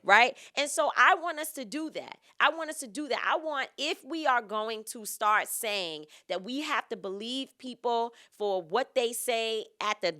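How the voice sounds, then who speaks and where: A woman's voice: fairly high pitch, sharp tone. A woman co-hosting a discussion show, making her points emphatically and repeating phrases for stress. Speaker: podcast co-host. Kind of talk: impassioned discussion.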